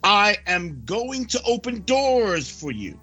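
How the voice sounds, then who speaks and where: A man reading prophecy as a sermon, solemn and declamatory, with dramatic pauses. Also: high-pitched, big pitch swings, sharp tone, breathy edge, faint music behind. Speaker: preacher reading a prophecy report.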